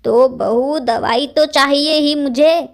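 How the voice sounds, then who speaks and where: A woman voicing a meek, patient elderly mother-in-law with a hesitant, pleading manner in an animated story. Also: high voice, slow. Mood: mildly reproachful. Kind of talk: acted dialogue in an animated moral story.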